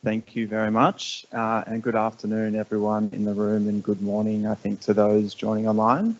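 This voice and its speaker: A man's voice: on the low side, flat delivery, moderate pace, dull audio. A man giving a conference presentation about his city program, polite and organised.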